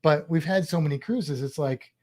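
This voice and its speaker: A man's voice: on the high side, moderate pace, darkish tone. A man co-hosting a casual cruise podcast, joking around and making puns. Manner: jokey, playful with puns. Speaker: podcast co-host. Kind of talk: casual chat.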